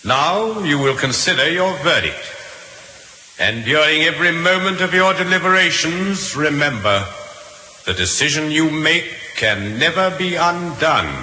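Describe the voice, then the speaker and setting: An actor playing a stern, solemn Victorian judge in an old radio drama, declaiming formally and deliberately. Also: male voice, on the high side, expressive, sharp tone, full character voice. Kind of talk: 1950s radio drama acting. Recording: echoey, hissy old radio recording.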